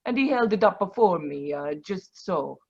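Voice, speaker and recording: Woman playing scornful lady witness in old radio mystery, halting n theatrical, muffled video call sound. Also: fairly low pitch, expressive, darkish tone, breathy edge. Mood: hesitant recounting. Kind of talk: 1940s radio drama acting. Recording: dull live video call audio.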